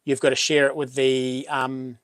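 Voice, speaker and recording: A middle-aged man with a New Zealand accent and a boomy voice, hosting a relaxed livestream chat, rambling with many ums and restarts as he reads out viewer comments. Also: moderate pace, clear, resonant tone, no room sound. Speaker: livestream host. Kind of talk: casual live chat reading comments.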